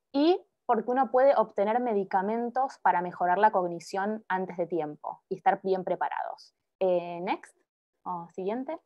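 A young woman presenting her doctoral research over a video call, pausing often with fillers and restarts. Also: medium pitch, mellow. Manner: hesitant, many filler sounds and restarts. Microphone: laptop microphone on video call. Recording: muffled video call audio.

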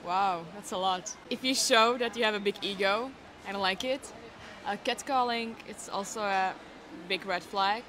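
A woman's voice: medium pitch, moderate pace, fairly bright tone, slight background noise. A young woman from the Czech Republic with a Czech accent, chatting in English in a casual interview and sometimes searching for words. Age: young adult. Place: indoor cafe. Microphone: handheld microphone. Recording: music playing behind.

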